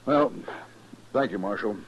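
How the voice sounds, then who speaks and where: An actor playing a dry, matter-of-fact American cavalry major in a 1950s radio western, speaking conversationally. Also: male voice, lowish voice, unhurried, muffled recording, a little hiss or hum, close to an everyday voice. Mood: dry, reflective.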